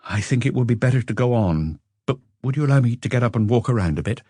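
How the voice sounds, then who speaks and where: A man's voice: mid-range voice, animated, quick pace, mellow, breathy edge, no echo, voice pushed up. A man voicing a weary businessman under police questioning, earnest, wordy and self-justifying, in an audiobook. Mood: weary, deflated.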